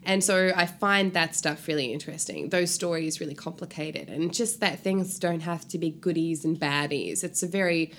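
A woman from New Zealand talks as an interview guest in a fairly low voice. She is chatty and jokey, with casual slang, and there is some faint noise behind her in the room. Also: normal speed, few pauses, fairly bright tone. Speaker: guest author. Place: hotel room.

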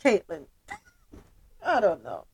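A woman's voice: lowish voice, wildly swooping pitch, slow, darkish tone, breathy edge, no room sound. A woman hosting a casual podcast, curious and full of hypothetical questions. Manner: inquisitive, poses hypothetical questions. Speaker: podcast host.

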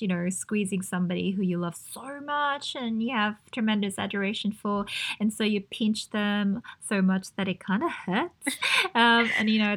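A woman's voice: medium pitch, a bit bright. A woman from the Philippines in a casual group chat, reflective and laughing often as she talks. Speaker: guest in a group conversation.

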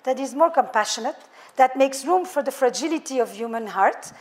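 A woman's voice: sits high, expressive, a little fast. A middle-aged woman with a French accent, a couples therapist speaking to a live audience in a thoughtful way, piling up lists of words. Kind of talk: public talk to a live audience.

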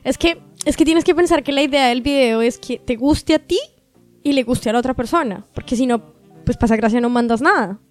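A woman's voice: high voice, brisk, faint music behind. A woman podcast guest explaining her views earnestly in casual chat.

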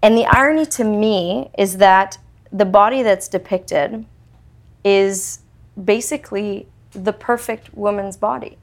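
Creaky-voiced woman host. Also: mid-range voice. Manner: measured, probing questions. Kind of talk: interview questions.

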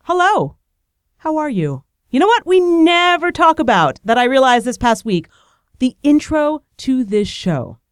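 A woman's voice: high-pitched, very animated, unhurried, smooth, no echo, studio quality. A woman hosting a podcast, talking in a bubbly, rambling, self-deprecating way while she has a cold. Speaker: podcast host. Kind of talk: casual chatty monologue.

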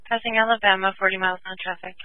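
A woman's voice: mid-range voice, steady tone, racing, no echo. A woman working as a police dispatcher, giving terse, clipped read-backs over the radio. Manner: clipped, procedural phrasing. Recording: dry, tinny phone-like dispatch line.

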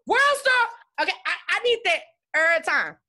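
Blunt, animated woman talking frankly. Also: extremely high pitch, fairly quick. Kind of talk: casual podcast chat.

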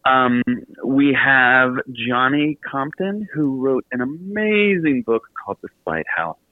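A man's voice: medium pitch, expressive, slow, no echo, phone-quality sound. A man chatting casually as a radio interview guest, self-deprecating and effusive, with a joking manner. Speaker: guest, author and podcast host.

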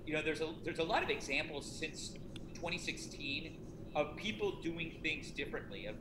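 A middle-aged man talks casually and self-deprecatingly, restarting his sentences often. His voice sits a little high. The recording is echoey, with a clear noise bed underneath. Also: animated, normal speed. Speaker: author promoting a self-help book. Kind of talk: casual conversation. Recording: echoey room with noticeable noise.

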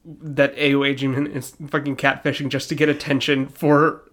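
A man's voice: medium pitch, flat delivery, normal speed, fairly bright tone. A young man co-hosting a podcast, riffing comically in an irreverent, sweary, casual way. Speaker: podcast co-host.

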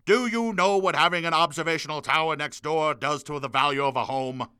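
A man's voice: fairly high pitch, brisk, bright, forceful tone, clean tone, no echo, clean studio sound. A man playing a pompous middle-aged wartime president with petty grievances, in a parody of a 1940s radio address. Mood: aggrieved, petty. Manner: formal broadcast oratory sliding into grumbling.

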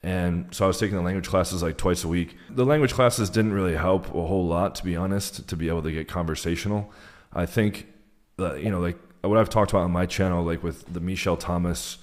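A man with an American accent and a low-pitched voice talks as a guest on a podcast. His delivery is casual and rambling, with "like" scattered all through his sentences. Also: steady tone, fairly quick. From United States. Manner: rambling, peppered with "like". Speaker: podcast guest, dating coach. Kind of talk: casual conversational interview answers.

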